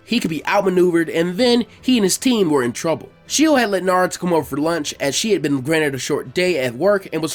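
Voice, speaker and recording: Brisk, high-pitched male narrator. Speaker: narrator of fan fiction readings. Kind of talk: scripted story narration.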